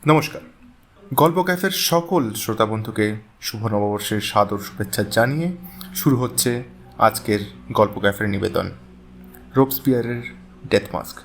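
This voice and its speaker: A man's voice: fairly low pitch, moderate pace, pauses often, slight background noise. A man announcing an audio story show, delivering a scripted introduction to listeners. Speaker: channel announcer.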